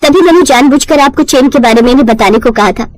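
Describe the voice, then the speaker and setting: Woman as principled young daughter-in-law, fairly high voice. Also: fast talker, no room sound, close to an everyday voice, poor audio. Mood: disillusioned. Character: principled, patient young daughter-in-law running roadside kitchen.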